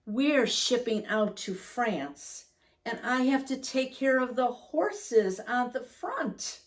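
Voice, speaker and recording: Storybook soldier, male, read by a woman: earnest, tender, very slow delivery, slightly distant microphone. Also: extremely high pitch, animated, voice pushed up, full character voice. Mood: tender, reassuring. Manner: gentle, serious, deliberate.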